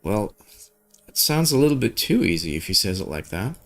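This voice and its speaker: A man's voice: lowish voice, sing-song, moderate pace, fairly bright tone. A man doing a game playthrough, reading story dialogue aloud in an informal way with casual asides and stumbling over words. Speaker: gaming streamer.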